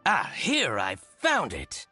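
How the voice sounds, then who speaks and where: An actor voicing a scheming, jealous male shop owner in a video game, with exaggerated, fawning or indignant delivery and drawn-out emphasis. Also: mid-range voice, huge pitch swings, bright, forceful tone, breathy edge, no echo, soft background music, full character voice. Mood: gloating, vindictive. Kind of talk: English video game voice acting.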